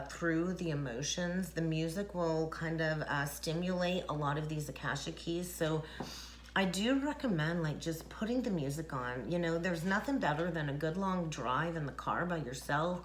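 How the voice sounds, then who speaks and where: A woman's voice: sits low, fairly bright tone. A woman who reads tarot, talking casually in a rambling way, with frequent chuckles and fillers. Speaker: tarot reader. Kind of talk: casual spiritual talk to camera. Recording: echoey room, faint noise.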